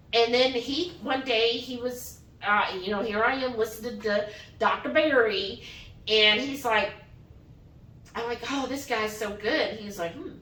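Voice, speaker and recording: A woman telling a personal story casually as a vlog host, animatedly acting out the dialogue as she retells it. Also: fairly high pitch. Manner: animated retelling, often quoting dialogue in acted voices. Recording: roomy echo, distant microphone.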